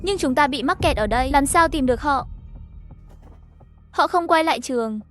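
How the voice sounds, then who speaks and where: High-pitched woman narrating a story. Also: expressive, normal speed, soft background music. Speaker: narrator of an animated horror story recap. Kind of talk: scripted story narration, reading all characters' dialogue.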